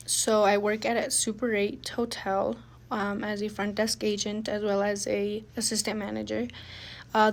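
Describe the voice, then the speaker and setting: A young woman giving a prepared personal statement, measured, with frequent pauses and fillers. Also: medium pitch. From United States. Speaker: student speaker.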